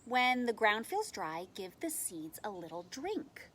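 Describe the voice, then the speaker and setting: A woman reading as a patient, encouraging schoolteacher, unhurried. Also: mid-range voice, big pitch swings, a little hiss or hum. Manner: gentle, encouraging, instructive. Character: kindly, patient female schoolteacher instructing young class. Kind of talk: children's storybook read-aloud.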